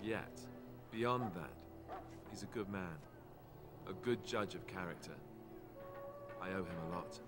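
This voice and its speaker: A man's voice: deep voice, slow pace, pauses often, over music. A man playing a wry, good-natured young warrior in a fantasy video game, with understated, earnest delivery. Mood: wry, sincere. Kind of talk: video game dialogue acting.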